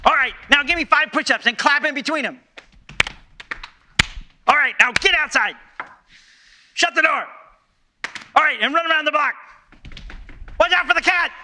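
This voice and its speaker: A very high, piercing bossy general. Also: male voice, sharp tone, a little hiss or hum, heavily acted. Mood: commanding. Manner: barking, brisk commands. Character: bossy male drill-sergeant general in a kids' fitness ad. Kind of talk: mock radio advertisement.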